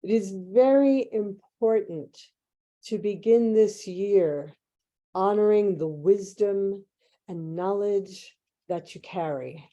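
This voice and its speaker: Slow, mellow woman guiding a meditation. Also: medium pitch, highs cut off. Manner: measured, hushed phrasing with long pauses. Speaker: guided meditation leader. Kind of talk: guided meditation, spoken slowly as a spiritual transmission.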